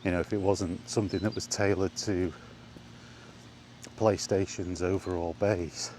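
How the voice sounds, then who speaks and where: A man's voice: low-pitched, steady tone, unhurried, a little mellow, a little hiss or hum. A man vlogging casually about gaming in a rambling, plain-spoken way while out walking, recorded on a small wireless mic.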